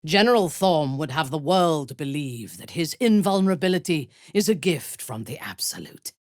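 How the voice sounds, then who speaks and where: An actress playing a haughty, ruthless dark elf warrior in a video game, speaking in an imperious, measured, menacing way. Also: female voice, low-pitched, animated, no room sound, studio quality. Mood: grave, proud. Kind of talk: video game character voice lines.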